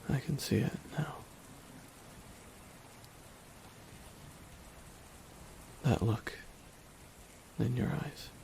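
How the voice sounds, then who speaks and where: Man acting a gravelly, wistful supervillain in rain. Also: medium pitch, unhurried, warm tone, slight background noise. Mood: somber. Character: reflective male supervillain, wry, quietly softened toward his nemesis. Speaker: voice actor in audio roleplay. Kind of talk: ASMR dramatic roleplay monologue.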